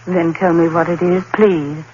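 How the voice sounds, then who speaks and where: A woman's voice: on the low side, level tone, unhurried, a little mellow, lightly acted. An actress playing a worried, devoted young woman in a 1940s-style radio play, her manner pleading and affectionate. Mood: concerned. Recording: dull old radio broadcast with faint hiss.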